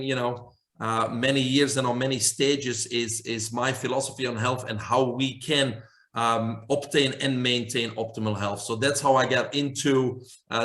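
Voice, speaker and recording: A man's voice: mid-range voice, a bit bright. A man in his forties from Belgium with a Belgian accent, earnestly answering interview questions as a holistic health doctor. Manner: earnest, emphatic, lists many terms.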